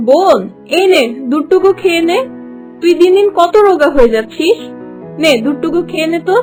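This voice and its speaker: Jealous young cartoon princess, very high pitch, theatrical, coaxing, scheming, music behind. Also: female voice, expressive, moderate pace, a little mellow. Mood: falsely sweet, coaxing. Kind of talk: cartoon fairy-tale voice acting.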